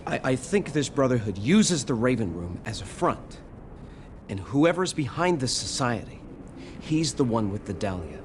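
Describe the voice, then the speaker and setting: An actor playing a stubborn, earnest young American federal agent in a video game, speaking deferentially with some hesitation. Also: male voice, mid-range voice, sing-song, normal speed, a little hiss or hum, close to an everyday voice. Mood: earnest, explaining. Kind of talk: video game cutscene acting. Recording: game dialogue over background music.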